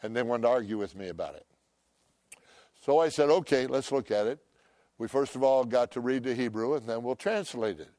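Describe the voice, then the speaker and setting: Loud, emphatic older male preacher. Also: medium pitch, fairly quick, dry recording. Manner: loud, emphatic, swings between shouting and hushed tones. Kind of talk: impassioned sermon.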